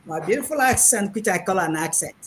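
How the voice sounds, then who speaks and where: A woman in her sixties with a Nigerian accent, giving a chatty, halting speech over a video call at an online graduation. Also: mid-range voice, slight background noise. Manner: chatty and animated, restarts and repeats words, hesitant fillers. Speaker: graduating program participant, retired math lecturer.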